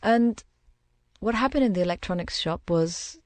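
A woman who grew up in the Middle East, giving a reflective, measured personal account in an interview and pausing to find her words. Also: mid-range voice, a little mellow, no echo.

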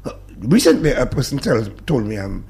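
A man with a Dominican accent, a guest on a talk-radio show, speaking in emphatic, animated bursts that drop into low mutters. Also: fairly quick. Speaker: studio guest. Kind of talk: passionate talk-radio discussion.

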